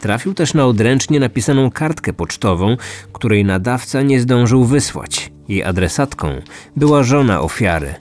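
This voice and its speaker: A man hosting a true-crime podcast, narrating from a script in measured storytelling style. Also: mid-range voice, expressive, a little mellow. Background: background music.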